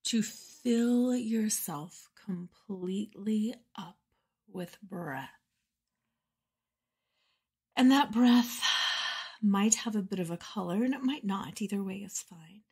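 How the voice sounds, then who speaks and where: A woman leading a guided meditation in a soft, breathy, soothing voice with measured phrasing and pauses. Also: mid-range voice. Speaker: meditation guide and podcast host.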